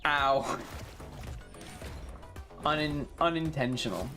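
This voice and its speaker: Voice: mid-range voice, slow, pushed and bright, faint music behind. A streamer with an androgynous-sounding voice, chatting casually during a live game in a slangy, sweary, self-mocking way.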